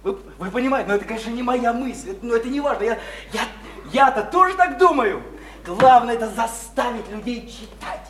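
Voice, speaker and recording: An actor playing an impassioned idealist in a radio play, preaching reform in halting, repetitive, grandiose speeches. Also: male voice, extremely high pitch, expressive, fairly bright tone. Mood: preachy, exalted. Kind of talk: theatrical radio play acting. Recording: noisy, echoey stage-like radio play recording.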